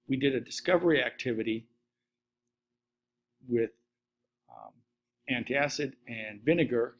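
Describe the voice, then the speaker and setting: Middle-aged male science teacher, steady methodical lecture read into a desk webcam. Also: medium pitch, a little slow, long pauses. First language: Latvian. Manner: steady list-reading delivery. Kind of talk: recorded slide lesson.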